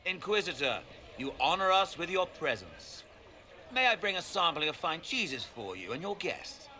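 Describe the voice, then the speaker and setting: An actor playing an obsequious waiter with fawning, ornate politeness, in a video game cutscene. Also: male voice, on the high side, very animated, normal speed, pushed and bright, slight background noise, heavily acted. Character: obsequious, flowery male waiter at a fine establishment.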